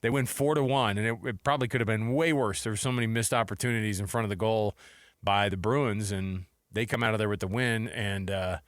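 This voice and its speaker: A man's voice: lowish voice, steady tone, fairly quick, no room sound, studio quality. A middle-aged American man hosting a sports radio show, talking casually and conversationally about games. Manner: informal, conversational. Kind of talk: casual sports talk with commentary. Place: radio studio. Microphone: close broadcast microphone.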